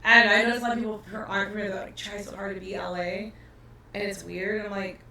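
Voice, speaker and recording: A woman's voice: mid-range voice, flat delivery, fast talker, sharp tone, slight background noise. Easygoing woman from Los Angeles, echoey room. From Los Angeles, California. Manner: laid-back, trailing off, many "like"s. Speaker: comedian guest. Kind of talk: casual podcast chat. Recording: roomy echo, mic at a distance.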